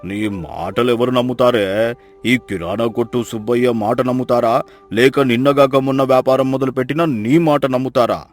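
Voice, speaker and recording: A man's voice: medium pitch, normal speed, faint music behind, natural voice. Sneering village grocer played by a man. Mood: scornful. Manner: sneering, accusatory. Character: scheming, spiteful male village grocer, bullying and loud. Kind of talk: moral story dramatization.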